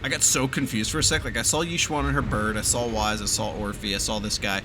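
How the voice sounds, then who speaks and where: A man giving casual, profane, joking and excitable commentary as he reacts to a video game. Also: lowish voice, a little fast. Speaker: gamer reacting to game cutscenes. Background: game music and sound.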